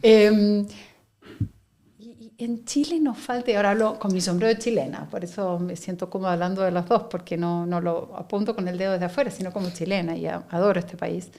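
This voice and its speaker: A middle-aged woman from Argentina with an Argentine Spanish accent, a guest speaking in a measured, reflective interview manner. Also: medium pitch, steady tone, warm tone. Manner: reflective, measured, with frequent fillers and laughs. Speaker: guest, company director. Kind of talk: conversational interview.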